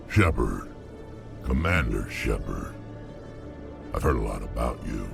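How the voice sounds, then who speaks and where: An enormous alien warrior from a video game, speaks in an extremely low register. The delivery is slow, heavy and full of gravel. He is blunt and menacing, never hurried. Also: very animated, over music, exaggerated voice. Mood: gruff respect. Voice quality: gravelly, rumbling. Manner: blunt, unhurried, threatening. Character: huge menacing male alien mercenary warrior.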